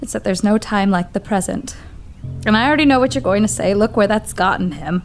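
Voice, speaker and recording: A woman's voice: mid-range voice, animated, brisk, soft background music, close to an everyday voice. A woman playing a distraught, determined young woman. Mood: resolute. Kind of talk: audio drama acting.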